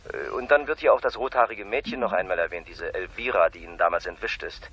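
An actor playing a brisk, needling boss of a detective agency in a radio crime drama, giving offhand instructions. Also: male voice, sits low, a bit bright, rough recording.

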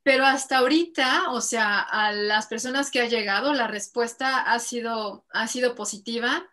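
Mexican woman, 30s, singer guest, bright and a touch high, chatty with fillers, webcam audio from home. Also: normal speed. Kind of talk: casual interview over video call. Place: home room with bookshelves and string lights. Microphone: video call webcam.

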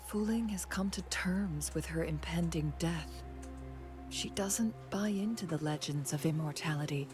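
An actress playing a composed, astute young woman adviser in a video game, speaking in a measured, articulate way. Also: low voice, over music. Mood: calm, reflective.

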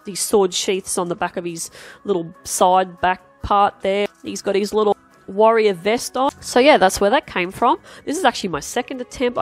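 An Australian woman with a nasal, stuffed-up voice from a cold, chatting casually and self-deprecatingly as she hosts her art channel. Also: mid-range voice. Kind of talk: casual chat while drawing. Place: home desk, indoors.

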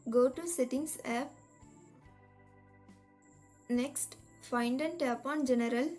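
A woman reading a scripted step-by-step tech tutorial in a measured, instructional manner. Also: sits high, darkish tone. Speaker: tutorial narrator. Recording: voice-over with music behind. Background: background music.

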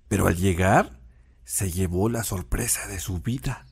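An actor playing a fatherly storyteller with a husky voice and Mexican accent, narrating a legend unhurriedly in an audio drama. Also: sits low, measured pace, darkish tone, exaggerated voice. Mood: wistful, unhurried.

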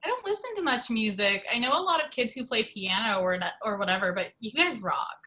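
A woman's voice: fairly high pitch, animated, brisk, bright, forceful tone. A woman playing an eager, gushing seventeen-year-old schoolgirl at a table read. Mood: chatty. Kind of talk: table-read acting over video call.

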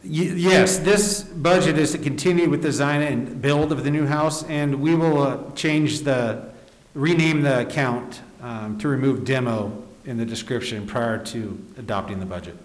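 A male city official explains budget items in a measured, formal way. His voice is mid-pitched and comes through a face mask into a desk microphone, with clear room echo. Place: indoor council chamber. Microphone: desk microphone, speaking through a face mask. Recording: echoey meeting room.

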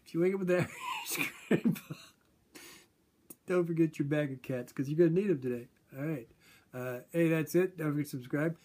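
A man in his sixties telling a made-up family story in a rambling, playful, deadpan way to a webcam in a small room at home. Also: on the high side, a little fast. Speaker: vlogger. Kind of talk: rambling comic monologue. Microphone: webcam at desk distance.

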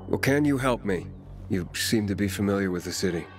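An actor playing a guarded, determined young wanderer in a video game, speaking tersely and directly. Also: male voice, fairly low pitch, animated, brisk, close to an everyday voice. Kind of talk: video game cutscene acting. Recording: music behind the dialogue. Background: game music.

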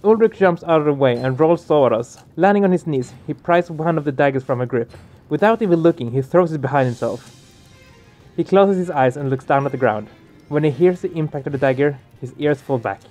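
A man's voice: a little high, animated, a little fast, a little mellow. A man hosting a let's play, reading game narration aloud and adding casual commentary. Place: small home room, indoors. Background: game music.

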